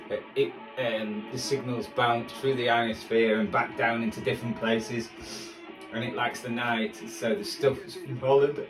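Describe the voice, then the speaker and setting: A man giving casual, rambling, self-deprecating commentary as a hobbyist host tuning a radio in his kitchen. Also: medium pitch. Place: indoors in a kitchen. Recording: roomy, distant mic, radio music behind. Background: music playing from a shortwave radio.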